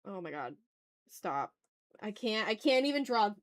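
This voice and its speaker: A woman's voice: mid-range voice, big pitch swings, a little slow, no echo, studio quality. A woman livestreaming as she draws, chatting casually in slang and muttering to herself with playfully dramatic, exasperated asides. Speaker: art livestreamer, host.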